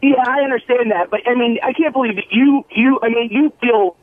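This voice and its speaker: A man's voice: very high voice, a little fast. A man calling in to a radio show, arguing bluntly for his opinion over a narrow telephone line. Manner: blunt, argumentative. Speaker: phone-in caller.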